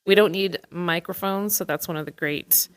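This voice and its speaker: A woman's voice: fairly low pitch, normal speed. A woman, an arts administrator, explaining conversationally as an interview guest.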